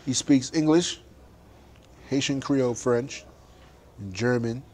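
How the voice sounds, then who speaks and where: A man's voice: mid-range voice, steady tone, takes it slowly, a little mellow, a little hiss or hum. A young adult man, a boxer, talking animatedly and emphatically in a casual interview, laughing often.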